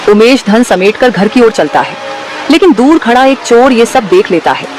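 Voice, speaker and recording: A woman's voice: fairly high pitch, animated, normal speed, noisy background. A woman narrator reading a scripted cartoon moral story.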